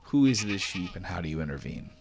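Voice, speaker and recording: A man's voice: low-pitched, big pitch swings, fast talker. A man narrating a tabletop roleplaying game as its game master.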